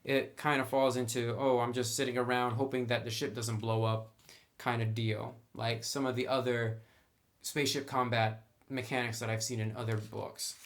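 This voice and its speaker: A man's voice: mid-range voice, level tone, normal speed. Male reviewer, conversational and opinionated, talking through a rulebook off the cuff. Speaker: tabletop game book reviewer. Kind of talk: casual unscripted review commentary.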